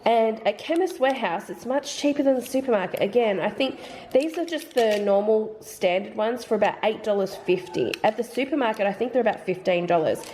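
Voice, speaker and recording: A woman's voice: fairly high pitch, lively intonation, fairly quick, mellow, slight background noise. An Australian woman in her thirties, a mum vlogger chatting casually through a product haul, sounding slightly nasal from hay fever and thinking aloud about prices. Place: home.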